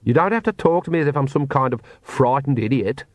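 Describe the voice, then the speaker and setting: A man's voice: on the high side, animated, moderate pace, no echo, voice pushed up, natural voice. A man voicing an anxious, stubborn young witch woman with a strained, indignant manner.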